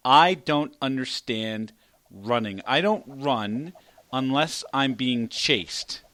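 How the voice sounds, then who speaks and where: A male podcast host who jokes loudly and swears while introducing guests. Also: mid-range voice, slow, no echo. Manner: loud, joking, swears. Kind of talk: casual podcast chat.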